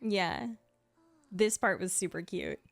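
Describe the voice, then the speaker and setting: A woman's voice: lowish voice, lively intonation, slow pace, gravelly, no room sound. Woman in chatty commentary, dry close sound. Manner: chatty, rambling, gushing asides. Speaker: video creator and game streamer. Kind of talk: casual reaction commentary, ranking game trailers. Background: faint music.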